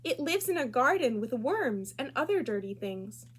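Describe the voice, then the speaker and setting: A woman's voice: sits high, sing-song, normal speed, a little hiss or hum. A woman voicing a smug, condescending little schoolgirl in a storybook reading. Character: smug, know-it-all little schoolgirl bully.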